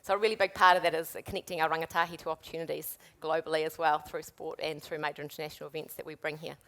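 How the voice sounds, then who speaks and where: A woman in her forties with a New Zealand accent, speaking on a panel as a sports event executive, brisk and upbeat. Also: low-pitched, little pitch movement, fairly bright tone. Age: 40s. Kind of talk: panel discussion.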